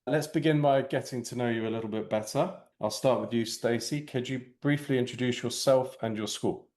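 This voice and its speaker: A man's voice: mid-range voice, brisk, a little mellow. A man hosting a podcast interview, reading scripted intros in a polished manner and handing over to guests. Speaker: podcast host.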